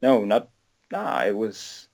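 Creaky young man from Denmark, halting delivery. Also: lowish voice, big pitch swings, a little mellow. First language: Danish. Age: 20s. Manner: hesitant, halting, repeats words. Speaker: podcast guest. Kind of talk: casual interview chat.

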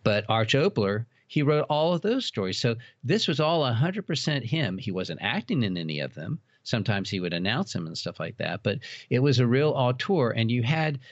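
A man's voice: medium pitch, sing-song, moderate pace, hardly any pauses, no echo. A man speaking as a podcast guest, chatting casually and knowledgeably like an expert on his subject. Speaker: podcast guest, old-time radio horror expert. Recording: dull lo-fi, dry sound.

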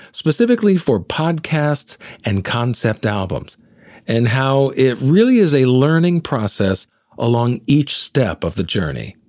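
A man's voice: medium pitch, darkish tone, no room sound. A middle-aged man hosting a podcast in a polished, articulate presenting style, moving between scripted narration and interview. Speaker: podcast host, actor and singer.

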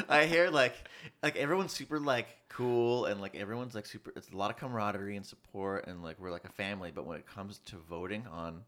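Male, mid-30s, lowish bright voice, casual slangy storyteller. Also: fairly quick. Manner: animated storytelling with acted-out quotes, slangy with swearing. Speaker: college student in a business fraternity.

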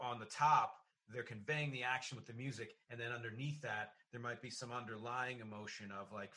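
A man in a conversational interview, talking in an analytical, halting way with emphasis on key words, as a podcast host would. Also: medium pitch, sharp tone. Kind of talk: conversational interview about music and film.

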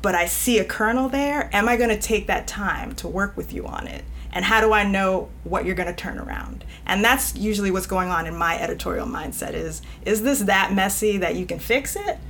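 A woman guest, an editor, talking casually in an interview, animated and often laughing, with many "you know" fillers. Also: medium pitch, a little hiss or hum. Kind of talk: conversational interview.

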